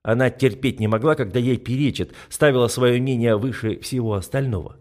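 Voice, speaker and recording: A man's voice: mid-range voice, fairly quick, darkish tone. A man reading fiction as a scripted audiobook narration.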